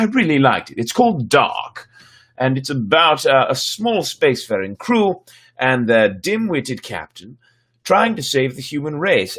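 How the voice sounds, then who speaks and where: A man's voice: medium pitch, a bit bright, breathy edge. A man hosting a livestreamed story show, chatting casually to viewers in a playful, theatrical, rambling way.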